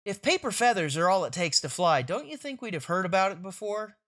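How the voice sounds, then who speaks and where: A woman doing a low-key, deadpan tiger. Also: brisk, close to an everyday voice. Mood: wry, skeptical. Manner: deadpan, unimpressed. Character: wry, laid-back, sarcastic male tiger companion. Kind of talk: comic strip read aloud for children.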